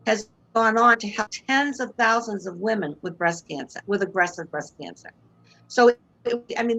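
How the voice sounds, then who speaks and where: An older woman with a Boston accent speaks over a webcam from a room at home. She tells her story earnestly and with strong faith, in a voice of ordinary middle pitch. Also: fairly bright tone, poor audio. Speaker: guest. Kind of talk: personal testimony in interview. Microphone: video call webcam.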